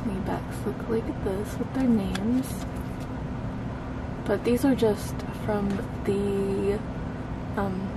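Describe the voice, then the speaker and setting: An American woman giving casual, unscripted unboxing commentary in a low-key, unhurried way. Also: steady tone. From United States. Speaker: host of a K-pop merchandise unboxing channel. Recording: clearly noisy background hum.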